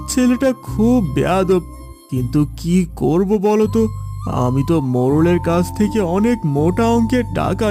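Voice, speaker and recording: A man voicing a humble, indebted village father in a cartoon, gentle, anxious and apologetic. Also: high-pitched. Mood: tender, worried. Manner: apologetic, pleading. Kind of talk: Bengali cartoon voice acting.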